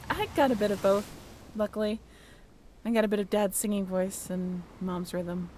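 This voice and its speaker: A woman's voice: mid-range voice, steady tone, normal speed, mellow, a little hiss or hum, natural voice. A woman playing a friendly, flustered young former college roommate in a romantic audio roleplay, talking in a bashful, hesitant, giggly and apologetic way. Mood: shy, warm. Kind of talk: romantic audio roleplay, naturalistic one-sided conversation.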